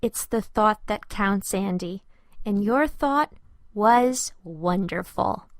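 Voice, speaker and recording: Woman playing a gentle, unhurried storybook mom. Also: medium pitch, lively intonation, no room sound, clean studio sound. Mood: tender, reassuring. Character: kind, gentle, encouraging young boy's mother.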